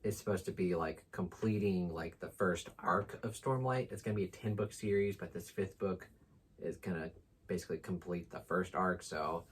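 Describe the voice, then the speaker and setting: A man with a low-pitched voice and a husky edge talks casually as a book channel co-host. His delivery is informal and enthusiastic, with slangy everyday phrasing. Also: moderate pace.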